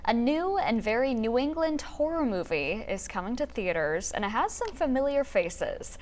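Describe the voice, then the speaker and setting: A woman's voice: on the high side, very animated, moderate pace. A woman anchoring a morning TV newscast, introducing a segment and bantering lightly with a co-host. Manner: bright, conversational, light banter. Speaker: news anchor. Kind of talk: morning TV news chat.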